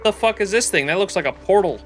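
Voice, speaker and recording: A man's voice: high voice, animated, fast talker. A man livestreaming a game with casual, jokey, profane commentary, sometimes reading dialogue aloud into a close mic. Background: game music.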